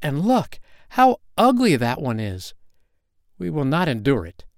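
A man's voice: fairly high pitch, huge pitch swings, unhurried, dry recording, clean studio sound, voice pushed up, heavily acted. A man voicing a flock of farmyard ducks in a storybook reading, sneering and spiteful.

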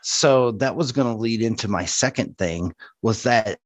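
A man's voice: on the low side, unhurried, no room sound. A man co-hosting a podcast, chatting casually about media. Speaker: podcast co-host.

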